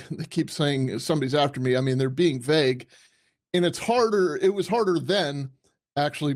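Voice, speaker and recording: A man giving casual, unscripted commentary, thinking aloud haltingly and repeating words as he goes. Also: medium pitch, darkish tone. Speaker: commentator, retired police commander.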